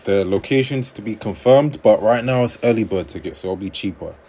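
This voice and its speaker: A man hosting a livestream on dating advice, reading viewer comments aloud and answering them in a relaxed conversational way. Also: lowish voice, brisk, slightly rough.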